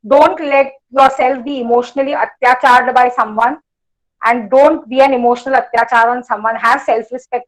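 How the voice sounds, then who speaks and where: A woman of about forty with an Indian accent and a hoarse voice, giving a blunt, emphatic motivational talk full of personal anecdotes. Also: on the high side, low-quality recording. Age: late 30s or around 40. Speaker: motivational speaker.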